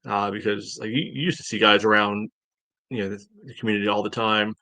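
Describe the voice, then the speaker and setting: Flat-toned, low-voiced male sports podcaster. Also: dry recording. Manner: halting, thinking aloud, heavy on "you know". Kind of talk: casual sports analysis chat.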